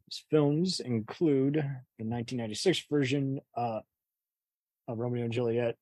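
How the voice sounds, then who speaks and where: A man's voice: medium pitch, darkish tone, no echo. A man hosting a casual film discussion in conversational style. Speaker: podcast host.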